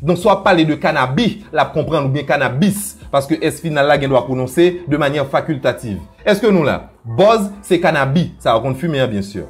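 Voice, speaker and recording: A man with a Haitian Creole accent teaching a playful video lesson, often dropping into low asides and jumping high for quoted voices. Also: animated, moderate pace, hardly any pauses, soft background music. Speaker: language teacher.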